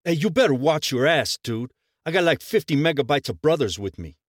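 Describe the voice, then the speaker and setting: A man's voice: fairly high pitch, lively intonation, brisk, dry recording, clean studio sound. A man doing a brash young street tough. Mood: taunting. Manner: slangy, swaggering.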